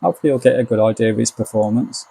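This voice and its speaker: A man's voice: mid-range voice, very fast, gravelly, mellow, breathy edge, poor audio. An English man giving a casual, easygoing hands-on product review in colloquial step-by-step commentary. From United Kingdom. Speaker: gadget reviewer.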